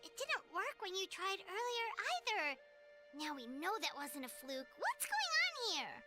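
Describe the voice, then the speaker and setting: A tiny childlike game companion with a squeaky, extremely high voice. She is bubbly and outspoken, and her pitch leaps all over the place. Loud playful music runs under her. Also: wildly swooping pitch, moderate pace, exaggerated voice. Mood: puzzled. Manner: bubbly, speaks of herself in third person. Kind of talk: anime-style video game voice acting. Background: loud children's-style game music.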